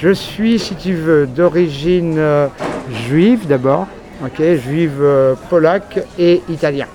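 A man with a fairly high voice tells a long, rambling story with many hesitations. He speaks frankly and casually. A loud street crowd and passing traffic fill the background outdoors. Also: takes it slowly. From France. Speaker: journalist. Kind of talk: street interview. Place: outdoors on a busy city street.

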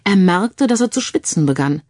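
A woman's voice: fairly low pitch, animated, moderate pace, a little mellow, no echo, studio quality. A woman reading a scripted crime story as an audiobook narrator.

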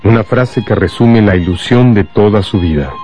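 A man with a deep voice narrates a radio drama. He tells the story in a measured, dramatic way, with faint guitar music running behind him. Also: warm tone.

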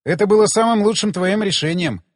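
A man's voice: high voice, a little fast, dry recording, clean studio sound, voice pushed up. A man voicing a wry, devoted male adventurer in an audiobook, with a casual, joking manner. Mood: earnest.

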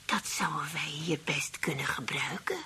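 An actress playing an elderly, cynical widow in a radio play, grumbling in a matter-of-fact, gossipy way with a creaky, raspy voice. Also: female voice, sits low, normal speed, pushed and bright, slight background noise. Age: older. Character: dry, cynical elderly widow who distrusts men.

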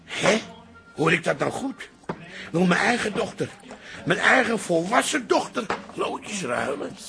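Grumpy old dad, high gravelly sharp voice, outraged and repeating himself, radio comedy. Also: male voice, big pitch swings, unhurried, soft background music, exaggerated voice. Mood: indignant. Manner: exclamatory, mock-outraged, repetitive. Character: grumpy, indignant older father of grown daughter. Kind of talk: radio comedy drama acting.

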